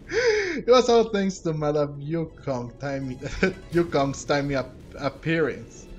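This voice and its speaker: A man comments informally on his gameplay. His voice is on the high side with lively swings, yet his pace is slow. Game music is clearly heard behind him. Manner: informal, reacting aloud. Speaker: gaming streamer. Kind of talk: casual game playthrough commentary.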